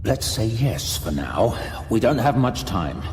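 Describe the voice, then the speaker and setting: An actor playing a grim, weary but resolute human archmage in a fantasy audio drama, terse and earnest. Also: male voice, fairly low pitch, very animated, a little slow, darkish tone, a little hiss or hum. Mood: urgent. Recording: echoing, reverberant cavern-like sound.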